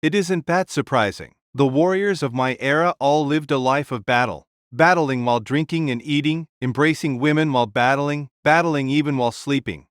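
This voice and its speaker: A man's voice: medium pitch, normal speed, hardly any pauses, no room sound, studio quality, lightly acted. A man playing a fearless, hearty ancient warrior-king in an audio drama, speaking bluntly and grandly. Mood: matter-of-fact boasting.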